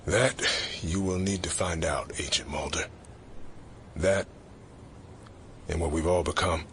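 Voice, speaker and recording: Secretive informant, very deep, bitter, guarded, ominous, audio drama. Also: male voice, steady tone, a little slow, a bit bright, slight background noise. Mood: ominous warning. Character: secretive, bitter male government informant returned from the dead.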